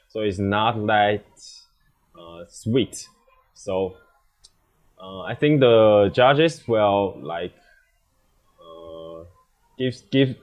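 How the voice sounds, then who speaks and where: Low-voiced young Taiwanese man, halting speech. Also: a little mellow. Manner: halting, hesitant non-native English. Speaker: bartender, competition contestant. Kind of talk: casual interview answers.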